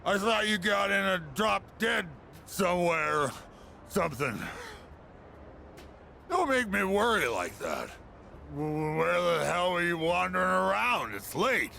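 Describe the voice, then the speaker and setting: An actor playing a gruff, lonely, middle-aged drunken ex-businessman in a video game, with a blustering, slurred drawl. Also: high-pitched, big pitch swings, slow pace, pauses often, pushed and bright, slight background noise, full character voice. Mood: irritated, drunken bluster. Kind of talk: English video game voice acting.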